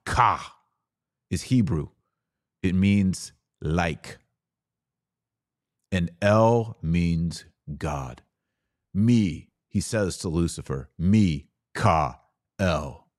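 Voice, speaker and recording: A man with a low voice gives a religious lecture as a podcast host. He speaks at a very unhurried pace, emphatic and didactic, leaving dramatic pauses between short phrases. The sound is very dry, with no room on it. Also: expressive, a little mellow, dry recording. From Texas.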